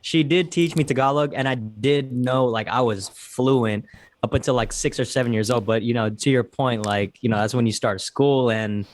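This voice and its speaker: Man, casual podcast talk, laid-back, joking, slangy, medium pitch. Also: moderate pace.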